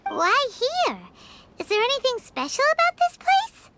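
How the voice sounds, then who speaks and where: A woman voicing a tiny, excitable childlike fairy companion in a video game, blunt and full of questions and exclamations. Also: very high-pitched, measured pace, heavy background noise, heavily acted. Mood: curious.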